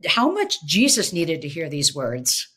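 A woman's voice: deep voice, wildly swooping pitch, unhurried, fairly bright tone, husky. Middle-aged woman, professor co-host, reflective and musing, webcam sound from a home office. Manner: reflective, thinking aloud. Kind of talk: casual theological discussion. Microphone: video call webcam.

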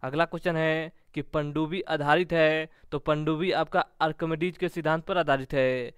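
Male coaching teacher, slightly high pitch, dry close sound, methodical question-and-answer revision, repetitive delivery. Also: steady tone, normal speed, fairly bright tone, clean tone, dry recording.

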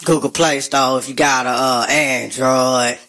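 A man co-hosting a radio show talks through a casual promo spot. His delivery is unhurried and drawling, with a bright, forward edge to his voice. He keeps it loose and joking rather than polished. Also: mid-range voice, steady tone. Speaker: radio co-host.

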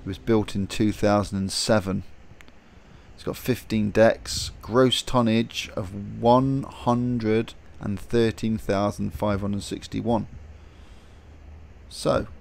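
Man, lowish pitch with some fry; relaxed, chatty vlog host filming outside on a phone. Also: slight background noise. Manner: relaxed, chatty, thinking aloud with fillers. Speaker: vlogger. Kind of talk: casual vlog narration.

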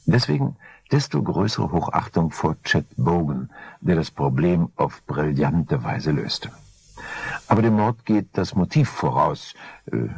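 An older man narrating a crime story in a measured, dry, darkly ironic way, his voice a little husky. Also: low-pitched, a little mellow. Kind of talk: scripted crime audiobook narration.

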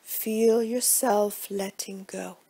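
A woman guiding a relaxation meditation in a soft, breathy voice, with measured, hushed delivery and gentle phrase endings. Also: medium pitch, fairly even intonation. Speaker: yoga nidra meditation guide. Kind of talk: guided relaxation meditation, scripted instruction.

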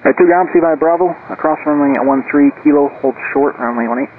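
Very fast-talking man on crackly radio. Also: on the high side, lively intonation, gravelly. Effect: aviation radio. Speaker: airport ground controller. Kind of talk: air traffic control radio instructions. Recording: tinny, noisy aviation radio channel.

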